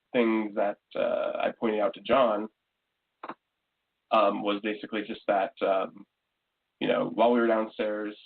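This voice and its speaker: A man talking as a show guest, explaining things casually in a halting, roundabout, hesitant way. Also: fairly low pitch, gravelly, slightly rough. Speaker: paranormal investigation team member, guest. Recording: muffled, lo-fi call-like sound.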